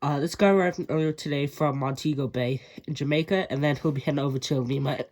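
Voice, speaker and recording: A man's voice: fairly high pitch, fairly even intonation, quick pace, darkish tone. A young man with a congested, stuffy voice, narrating a casual video tour of his model airport, with frequent ums and uhs.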